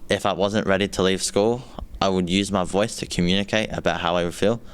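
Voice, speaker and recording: A young man in his late teens reads a reflection in a steady, sincere way. His voice is very low and clean. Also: fairly quick, clean tone. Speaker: student leaving high school. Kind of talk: reading a prepared reflection.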